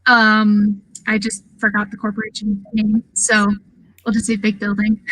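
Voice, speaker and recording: A woman's voice: medium pitch, monotone, a little slow, a bit bright, rough recording. A woman guest on a gaming podcast, chatting casually. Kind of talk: casual chat.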